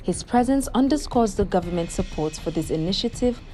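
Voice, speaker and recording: A woman reporter reading a scripted news voice-over in a smooth, even way. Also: medium pitch, brisk, faint music behind.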